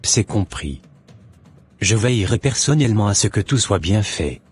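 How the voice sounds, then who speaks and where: A man's voice: lowish voice, expressive, moderate pace, husky, soft background music. A man voicing a scheming would-be false pastor in a French cartoon. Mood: obedient. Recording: noisy ambience behind the voice.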